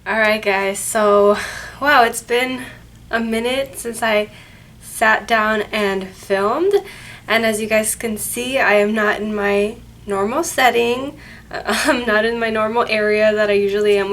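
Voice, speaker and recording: A woman's voice: medium pitch, steady tone, measured pace, a bit bright. A woman hosting her paranormal channel, chatting casually to camera from an office, sounding nasal and congested from a cold and rambling with self-corrections. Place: office at her workplace, indoors. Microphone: camera mic, no microphone.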